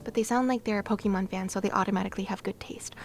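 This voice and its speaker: A woman's voice: mid-range voice, steady tone, racing, faint music behind. A woman livestreaming and chatting casually with her viewers, giggly and playful, with gushing exclamations and sing-song asides. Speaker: live streamer.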